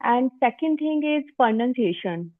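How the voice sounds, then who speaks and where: Indian woman on a call, kinda high voice, tinny dry sound, goes over grammar slips. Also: normal speed, no room sound. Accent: Indian English. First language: Hindi. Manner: corrects and explains grammar, lively. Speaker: English-practice YouTuber. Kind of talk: casual conversation practice.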